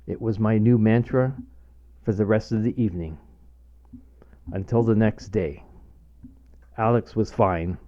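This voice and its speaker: A man's voice: fairly low pitch, unhurried, long pauses, mellow. A middle-aged man reading his own scripted humorous short story aloud as narrator. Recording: dull, lo-fi home recording.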